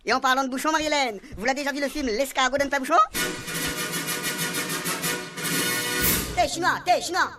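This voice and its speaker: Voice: high-pitched, rapid-fire, sharp tone, soft background music. A performer with a Réunion Creole accent playing a garrulous, over-familiar police sergeant who loves puns, in a comic radio serial. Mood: joking. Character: chatty, joking Réunionese police sergeant, relentless prankster.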